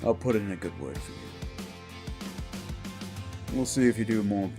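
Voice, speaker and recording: A man playing a gruff, wisecracking demon-born monster hunter in an action horror audio roleplay, talking in blunt tough-guy slang. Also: fairly low pitch, animated, moderate pace, natural voice. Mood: wry, relaxed. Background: music.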